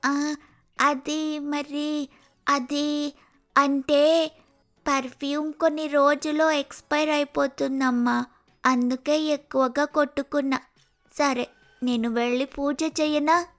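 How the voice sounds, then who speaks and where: A woman's voice: high-pitched, little pitch movement, slow, pauses often, a bit bright, full character voice. A woman voicing a lazy, pampered young female sparrow in a cartoon moral story, whining and wheedling dramatically. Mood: sly.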